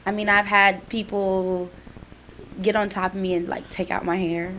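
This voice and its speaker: A young woman co-hosting a student podcast, talking in a casual, emphatic way with plenty of "like" fillers. Her pitch sits in a middle range. A faint noise floor runs under the recording. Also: normal speed, poor audio. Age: young adult. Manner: animated, emphatic, many "like" fillers. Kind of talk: casual chat.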